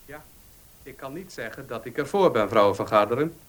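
An actor in a Dutch radio play playing a cautious, formal notary who speaks in a deliberate, hesitant way. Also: male voice, mid-range voice, lively intonation, lightly acted. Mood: cautious, explanatory.

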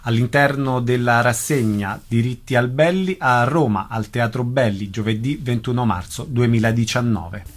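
A man with a voice of middling pitch presents on the radio. He delivers a scripted programme introduction. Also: normal speed, hardly any pauses.

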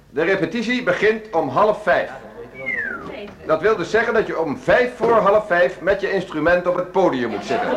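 An actor playing a strict, self-assured orchestra conductor, briskly addressing his players in a radio drama. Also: high voice. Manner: brisk, commanding, addressing a group. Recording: echoey hall, music behind.

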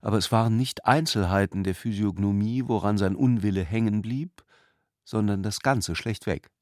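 Low-voiced man narrating, dry studio sound. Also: normal speed, no echo. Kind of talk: literary narration in a radio play.

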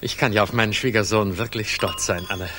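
An actor playing a genial older provincial gentleman, a proud father-in-law, in a 1960s radio drama. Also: fairly low pitch, fairly even intonation, brisk. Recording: reverberant, hissy 1960s mono radio play.

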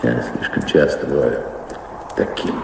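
An actor playing a weary, wistful middle-aged baron in a radio play, brooding and reflective in measured phrases. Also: male voice, extremely low pitch, steady tone, vocal fry, noisy background, full character voice. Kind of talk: Russian radio play acting. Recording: echoey reverberant studio drama with prominent music.

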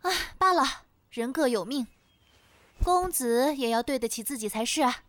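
A woman's voice: high voice, expressive, moderate pace, dry recording. A woman playing an earnest young noble lady in a period audio drama, formal and polite in classical phrasing. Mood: wistful. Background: faint music.

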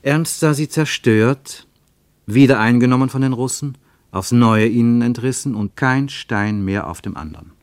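A man narrating a radio travel play in a measured, lyrical way, with dramatic swings in pitch and loudness. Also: no echo, studio quality.

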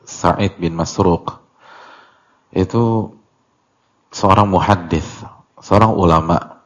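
A man giving an Islamic religious lecture as a teacher, speaking deliberately in short phrases with pauses, on a muffled recording. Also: deep voice. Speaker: Islamic teacher leading a religious study class. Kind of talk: religious lecture explaining a classical text.

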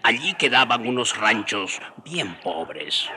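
A man's voice: medium pitch, sing-song, slow pace, heavy background noise. An actor playing a man who fondly recalls past days as the narrator of a radio drama. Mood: reflective. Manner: reminiscent, storytelling. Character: fond storyteller recalling his days with the friars.

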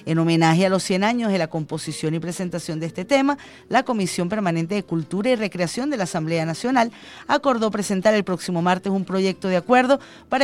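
A woman's voice: mid-range voice, a little mellow. A middle-aged Venezuelan woman hosting a radio show, reading news and chatting about her own trips with fillers. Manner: chatty, personal anecdotes with fillers. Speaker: radio host. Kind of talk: radio news and call-in chat.

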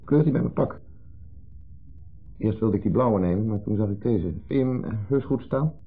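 A low, gravelly, insecure husband in a vintage radio drama. He broods and needles in hesitant, fretful questions. The sound is muffled and narrow, like an old broadcast. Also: expressive, moderate pace, faint music behind, exaggerated voice. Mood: anxious, fussing. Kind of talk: 1970s Dutch radio play acting. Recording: muffled narrow-band 1970s radio recording.

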